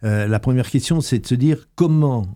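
A man's voice: medium pitch, normal speed, warm tone. A young man from Alsace, a history student, answering interview questions on the radio in a hesitant way, full of fillers and restarts. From Alsace, France. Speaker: guest, history master's student. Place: radio studio.

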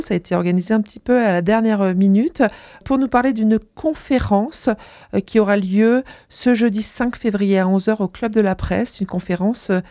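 A woman interviewer in a studio, talking briskly with many small hesitations. Her voice is mellow and the sound is dry and close. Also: mid-range voice, dry recording. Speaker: radio interviewer.

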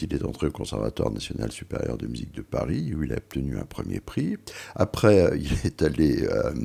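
A very deep, heavily gravelly older man speaking as an interview guest. His voice is husky, and he halts often, repeating words while he gathers his thoughts. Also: mellow. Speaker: author and music writer.

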